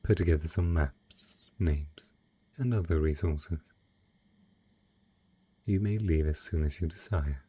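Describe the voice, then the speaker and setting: A very deep, dark male voice, breathy and close, a man acting out an ancient dragon disguised as a rich patron. He draws out each formal phrase slowly and seductively, possessive yet courtly, in an intimate romantic audio drama. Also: full character voice. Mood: businesslike, indulgent. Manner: slow, deliberate, formal, velvety seductive phrasing.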